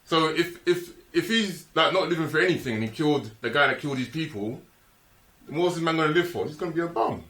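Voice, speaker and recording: A man's voice: fairly high pitch, animated, fast, sharp tone. A young man with a London accent, giving his take in casual, matter-of-fact slang. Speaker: film review podcast host. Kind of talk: casual film chat. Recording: echoey room.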